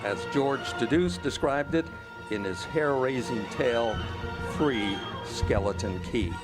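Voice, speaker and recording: A man's voice: mid-range voice, takes it slowly, poor audio. A man announcing in old-time radio drama style, theatrical and portentous. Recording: live stage recording under loud music. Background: music.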